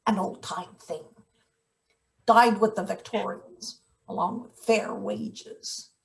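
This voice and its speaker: A woman's voice: mid-range voice, fairly even intonation, takes it slowly, creaky. A woman playing a menacing, sardonic late middle-aged maintenance worker in a table read of a satirical play. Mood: accusing, sardonic. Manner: sardonic, interrogating, theatrical threats.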